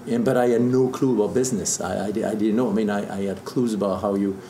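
A man's voice: on the low side, steady tone, fast talker, warm tone. A man in his fifties from France, speaking English with a French accent in casual interview storytelling. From southern Burgundy, France. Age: 50s. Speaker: interview guest, distiller. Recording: echoey room with background noise.

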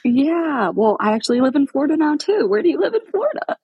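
A woman hosting a podcast interview, bubbly and quick to agree with her guest, often exclaiming. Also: high voice, big pitch swings, no room sound, highs cut off. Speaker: podcast host. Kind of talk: casual interview chat.

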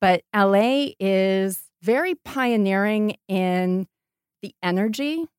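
A woman's voice: medium pitch, slow pace, smooth, dry recording, clean studio sound. An American woman giving reflective, conversational interview answers, making her points with emphasis. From Maine, United States. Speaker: podcast guest, startup entrepreneur.

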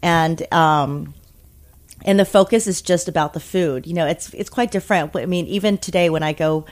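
A woman born in Taiwan and raised in America, talking casually as an interview guest, thoughtful with many pauses and restarts. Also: fairly low pitch. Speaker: interview guest, food media founder.

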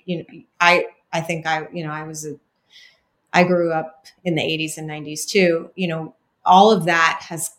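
A woman hosting a podcast interview, chatty and rambling with lots of fillers. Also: sits low. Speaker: podcast host. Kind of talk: casual interview chat.

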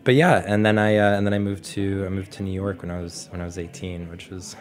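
An American man in his twenties from Florida, a guest chatting casually and haltingly in a radio studio. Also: deep voice, flat delivery. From Florida, USA. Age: 20s. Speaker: chef, interview guest. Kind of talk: casual chat.

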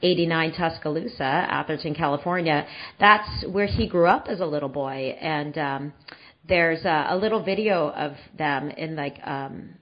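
A podcast host in her forties talks in a casual, meandering way, circling back with plenty of fillers and restarts. Her voice sits on the lower side, and the recording sounds a bit dull and lo-fi. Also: moderate pace. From San Francisco Bay Area. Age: 40s. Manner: rambling, many fillers and restarts.

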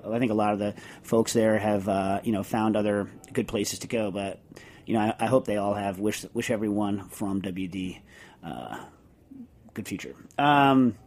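A middle-aged man hosting a podcast, chatting casually and explaining technical points step by step with many fillers and restarts. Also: on the low side. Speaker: podcast host.

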